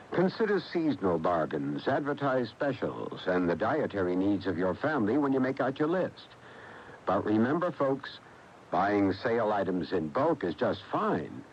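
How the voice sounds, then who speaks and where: A man with an American accent delivers folksy, scripted consumer advice with very lively intonation in a fairly low voice. It plays from a muffled old AM radio tape. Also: slight background noise. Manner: folksy, instructive. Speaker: public service announcer.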